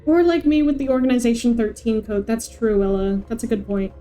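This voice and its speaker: Female streamer, higher register, casual host chat during gameplay, slight noise behind. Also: fairly even intonation, a little fast, mellow.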